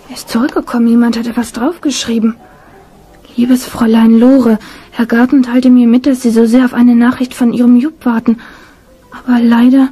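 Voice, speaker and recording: A woman acts out a distraught young actress in a radio drama. Her voice is high and very mellow. She sounds fearful and despairing. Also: close to an everyday voice. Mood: shocked, grieving. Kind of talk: German radio drama acting.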